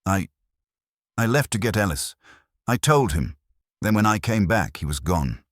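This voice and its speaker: A man plays a distraught, timid woman giving testimony in a detective audiobook. The voice is low and husky but lifts and wavers in pitch as it haltingly explains itself. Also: very animated, no echo, clean studio sound. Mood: confused, defensive. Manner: hesitant, halting, defensive. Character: timid, ashamed, distraught female witness. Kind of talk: audiobook detective story dialogue.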